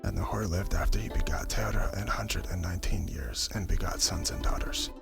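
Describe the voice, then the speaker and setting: A man reading scripture as a hushed, breathy ASMR narration in a whispery voice. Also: low voice. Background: background music.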